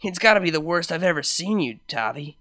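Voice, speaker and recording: A woman's voice: fairly low pitch, animated, brisk, fairly bright tone, no room sound, clean studio sound. A woman voicing a young female pony DJ, casual and laid-back, her speech turning evasive. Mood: teasing, uneasy.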